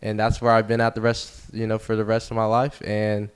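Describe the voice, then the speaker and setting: Smooth-voiced young man telling stories. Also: lowish voice, brisk. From United States. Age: young adult. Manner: relaxed, rambling storytelling with fillers. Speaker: baseball player guest. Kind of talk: casual interview.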